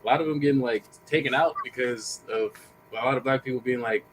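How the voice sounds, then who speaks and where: A man hosting a livestream panel, talking in a rambling, animated way and swearing casually. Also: medium pitch, quick pace, faint music behind, poor audio. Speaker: livestream host.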